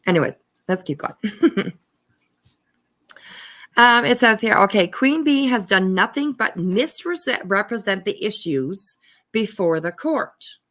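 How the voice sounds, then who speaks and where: A woman's voice: medium pitch, lively intonation, takes it slowly, pauses often, fairly bright tone. A woman commenting casually and reading documents aloud, irreverent and giggly in manner. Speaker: livestream host.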